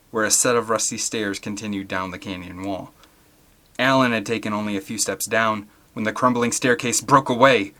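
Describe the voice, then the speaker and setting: A man with a fairly low, bright and forceful voice narrates an adventure novel. He reads as a dramatic audiobook storyteller, from a prepared script. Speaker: audiobook reader.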